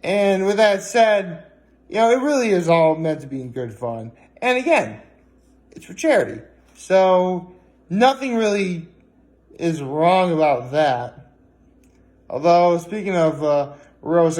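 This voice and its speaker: Male vlogger, pitched a bit high, conversational and hesitant, wandering delivery with halting pauses. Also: lively intonation, a little mellow. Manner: hesitant and rambling, with frequent pauses and fillers. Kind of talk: casual vlog talk.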